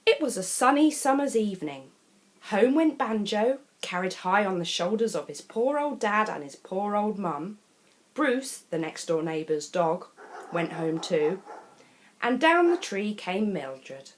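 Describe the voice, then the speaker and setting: A woman narrates a children's picture book. Her pitch moves widely and her delivery is theatrical. She speaks straight to the young listener with chatty asides. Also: sing-song, unhurried. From England. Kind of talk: expressive children's storybook narration.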